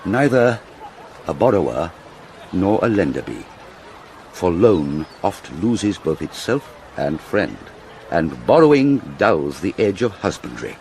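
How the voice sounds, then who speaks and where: A man's voice: deep voice, big pitch swings, takes it slowly, darkish tone, a little hiss or hum, exaggerated voice. An actor with a British accent playing a pompous, fussy older father and counsellor, lecturing in classical Shakespearean style. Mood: earnest, advising. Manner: declamatory, sententious, lecturing.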